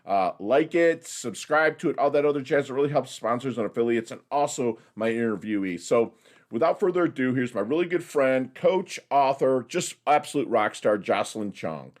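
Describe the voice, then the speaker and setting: A man's voice: medium pitch, animated, moderate pace. A man hosting a podcast interview, speaking with an enthusiastic, hype-filled delivery. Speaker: podcast host. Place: home near the ocean.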